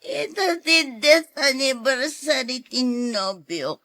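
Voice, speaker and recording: A woman playing a grieving, defiant young woman in an emotional audio drama, slow and tearful. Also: sits high, lively intonation, fairly bright tone, exaggerated voice. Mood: defiant, upset. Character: grieving, defiant, drunk young woman mourning her boyfriend.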